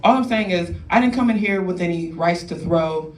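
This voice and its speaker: A woman's voice: on the low side, moderate pace, a crowd in the background. A young woman in her twenties from New Orleans doing a stand-up comedy set, tagging her jokes with "right?" and laughing at her own punchlines. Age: 20s. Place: comedy club stage. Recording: echoey room, distant mic, noisy.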